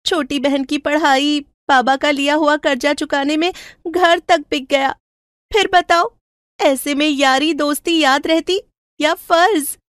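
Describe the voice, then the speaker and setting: A woman's voice: high voice, fairly even intonation, a little slow, a bit bright, no echo, clean studio sound, voice pushed up. A woman playing a poor, sorrowful young orphan in storybook cartoon dialogue, plaintive and earnest. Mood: aggrieved, sorrowful.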